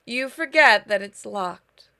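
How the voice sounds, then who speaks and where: A woman's voice: medium pitch, animated, takes it slowly, gravelly, pushed and bright, smooth. A woman doing a game playthrough, switching between theatrical reading of story text and casual commentary to viewers. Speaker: gaming streamer reading game text aloud. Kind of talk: game playthrough, dramatic narration mixed with casual chat. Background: game music.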